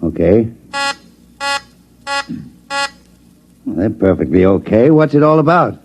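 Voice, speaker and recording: Sour, grumbling radio chief inspector. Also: male voice, lowish voice, big pitch swings, a little fast, slightly rough, heavily acted. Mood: puzzled, gruff. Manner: grumbling, blustery, sardonic speechifying. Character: sour, exasperated, long-serving male chief inspector. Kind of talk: 1950s radio drama acting. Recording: muffled old radio recording.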